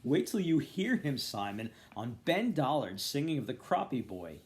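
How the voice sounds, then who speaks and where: A man voicing an eager, sociable gentleman in a novel, with emphatic delivery. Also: mid-range voice, sing-song, normal speed, voice pushed up, close to an everyday voice. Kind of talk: storybook character voice.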